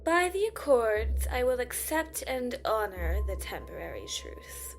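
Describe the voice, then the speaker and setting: A stern, regal female warrior acted by a woman speaks slowly in a fairly high voice. She is formal and commanding, in a fantasy audio drama with soft music behind. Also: very animated. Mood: cold authority. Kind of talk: fantasy audio roleplay acting.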